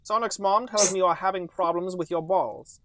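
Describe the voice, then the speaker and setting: A man voicing a calm but grave doctor in a comedic story, speaking in stiff, formal, deliberate phrases. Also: high-pitched, a little hiss or hum, natural voice. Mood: calm, businesslike. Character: calm but grave male doctor breaking bad news.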